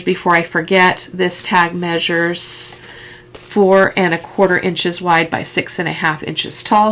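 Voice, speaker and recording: A middle-aged woman with a croaky, stuffy head-cold voice, chatting through a crafting tutorial step by step with casual asides. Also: on the low side, sharp tone. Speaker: craft vlogger.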